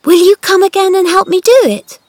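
A woman voicing a lonely, stubborn young girl who guards a secret, speaking high and urgent. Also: dry recording. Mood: excited. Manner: high and excited, fast.

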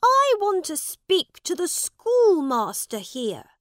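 A shrill young boy prince yells his orders in a slow, high voice that leaps all over the place, as a woman reading puts him on. He turns tearful and begging when no one believes him. The reading sounds close and dry. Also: huge pitch swings, no room sound, full character voice. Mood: commanding. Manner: shouting, high-pitched, tearful at times. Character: proud young boy prince, commanding then pleading.

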